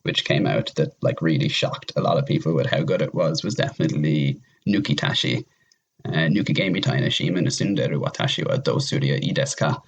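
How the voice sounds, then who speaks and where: A man's voice: a bass voice, fairly quick, creaky, slight background noise. A man chatting casually as a podcast guest, rambling enthusiastically and piling up intensifiers as he recommends things. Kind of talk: casual chat, recommending games.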